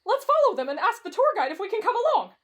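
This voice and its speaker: Girl doing a whiny scaredy-cat anime boy, super high pitched, swoops all over the place, dramatic n shrill. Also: sing-song, fast talker. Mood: nervously coaxing. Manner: shrill, dramatic, shrieking exclamations. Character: anxious, panicky, whiny cowardly young man, clingy. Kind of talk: anime fan roleplay audio drama.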